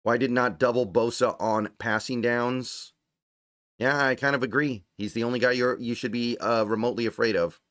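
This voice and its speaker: Male livestream sports host, mid-range voice going slightly hoarse, conversational and off the cuff, dry close sound. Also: mid-range voice, fairly even intonation, normal speed, a bit bright, dry recording. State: voice getting strained, starting to go hoarse. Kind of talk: casual fan commentary, reading and answering viewer chat.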